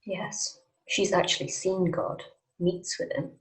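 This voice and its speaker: A woman in a script table read playing a guarded, secretive leader of a hidden group, speaking in a hushed, measured, serious way. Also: fairly low pitch, steady tone, rough recording.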